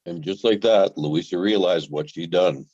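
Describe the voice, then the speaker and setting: A deep male narrator, acted by a man at a table read, wry and dramatic in telenovela style. The sound is dry and slightly muffled. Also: moderate pace, darkish tone, dry recording. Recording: dull, band-limited, dry recording.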